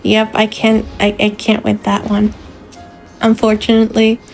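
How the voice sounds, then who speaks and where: A woman's voice: mid-range voice, completely flat delivery, moderate pace, gravelly, darkish tone, soft background music, low-quality recording. A Spanish-speaking woman from Colombia, chatting casually as a commentator, frank and a little self-deprecating. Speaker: speedrun commentator. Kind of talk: casual chat.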